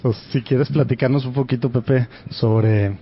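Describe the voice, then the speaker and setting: A man's voice: medium pitch, steady tone, measured pace. A man hosting a podcast, asking friendly, easygoing questions and laughing along with his guest. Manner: friendly, laughs often, short prompting questions. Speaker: podcast host. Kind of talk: conversational interviewing. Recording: muffled audio with faint hiss.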